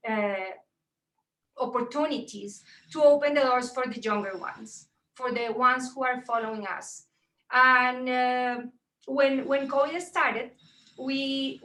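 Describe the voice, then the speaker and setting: A woman's voice: high-pitched, pushed and bright. A middle-aged woman from Colombia with a strong Colombian Spanish accent and Spanish as her first language, giving an earnest talk at a virtual event, with many fillers and restarts. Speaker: tech entrepreneur and speaker. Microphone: video call. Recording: echoey, distant laptop mic on a video call.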